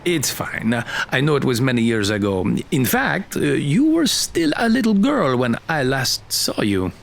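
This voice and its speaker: A man's voice: medium pitch, sing-song, moderate pace, creaky. A man playing a genial, probing middle-aged Air Force colonel in an audio drama, courteous and a little hesitant. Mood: friendly, amused.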